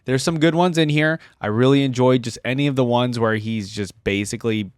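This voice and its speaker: A man's voice: mid-range voice, a little fast, smooth, dry recording, clean studio sound. A man hosting a reaction video, giving casual, rambling gaming commentary as he thinks aloud.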